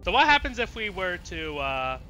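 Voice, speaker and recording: A man's voice: sits high, very animated, moderate pace, sharp tone, faint music behind. A man streaming a let's play, commenting casually and bluntly and thinking aloud as he goes. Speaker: gaming streamer.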